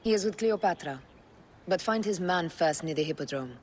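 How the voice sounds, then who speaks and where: An actress playing a resolute ancient Egyptian warrior wife in a video game, measured and intense. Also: female voice, lowish voice, animated, slight background noise, close to an everyday voice. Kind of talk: dramatic video game cutscene acting.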